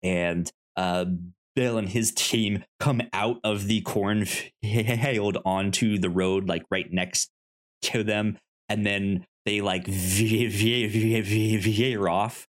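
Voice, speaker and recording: A man co-hosting a casual film discussion, speaking haltingly and often stammering over the starts of words. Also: on the low side, steady tone. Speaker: podcast co-host.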